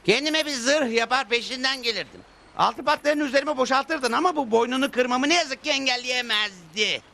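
A man voicing a short-tempered, vengeful cartoon outlaw with a gravelly, threatening delivery, music behind him. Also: very high voice, normal speed, sharp tone, exaggerated voice. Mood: menacing. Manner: threatening, gloating. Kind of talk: cartoon dubbing.

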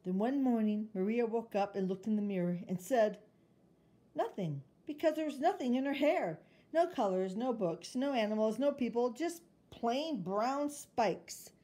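A woman with a fairly high voice reads a children's picture book aloud. Her narration is expressive and shaped for young listeners. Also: moderate pace, few pauses.

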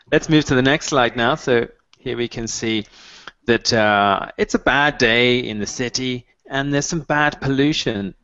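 A man's voice: mid-range voice, pushed and bright. Patient man teaching over headset. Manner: clear, patient, encouraging, models phrases slowly. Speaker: English teacher. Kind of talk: online mini-lesson, modeling phrases for students. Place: small room at home, video call. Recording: dull, dry video-call audio.

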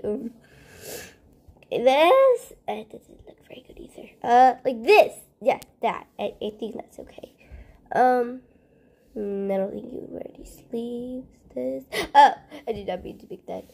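A woman with a fairly high voice casually narrates her gameplay as a channel host. She speaks very slowly and hesitantly, thinking out loud. Her sentences often trail off into soft murmurs.

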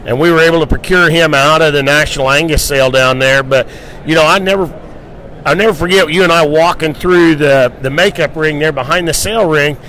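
Higher-voiced man talking livestock. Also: a little fast, bright, forceful tone, poor audio. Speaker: livestock show host. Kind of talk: casual promotional livestock talk. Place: indoor convention hall booth. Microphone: handheld microphone.

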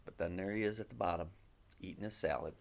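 A middle-aged man reading a children's picture book aloud at home in an expressive, dramatic storytelling manner. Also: low-pitched, quick pace, vocal fry. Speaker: storybook reader. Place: living room at home. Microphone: webcam at about arm's length.

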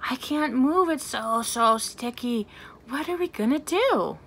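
A woman in her thirties leading a storytime for young children as a librarian, talking in an animated way with simple, exaggerated phrasing. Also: high voice, measured pace, slight background noise. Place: small plain indoor room.

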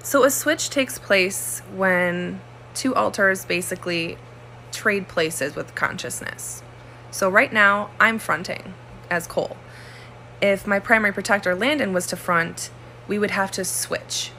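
A woman calmly explaining something in a short video monologue. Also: mid-range voice, measured pace, slight background noise, rough recording.

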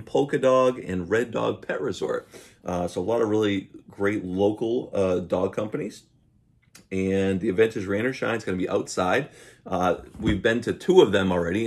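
A man's voice: lowish voice, vocal fry. A man in his thirties talking casually to camera as a vlogger, easygoing and a little hesitant, recorded at home. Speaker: vlogger and dog owner. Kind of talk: casual unboxing chat to camera. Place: small room at home, indoors. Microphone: camera mic at arm's length.

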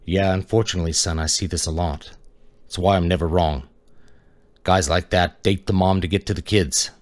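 A man voicing a large male detective who speaks deep, calm and unhurried. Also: dry recording, lightly acted. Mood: grave.

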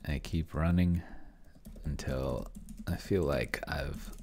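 A man's voice: extremely low pitch, slow, darkish tone. A middle-aged man thinking aloud in casual commentary as he plays a solo game live, muttering to himself while typing at his computer. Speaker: solo roleplaying player and show host. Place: indoor room at a computer. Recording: keyboard typing and clicking noise.

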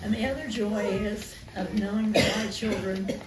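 A woman's voice: medium pitch, moderate pace, over a noisy crowd. Elderly woman, distant in an echoey space. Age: 90s. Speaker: church member giving testimony. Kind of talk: heartfelt reminiscing. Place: barn gathering. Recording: echoey, noisy, far from mic.

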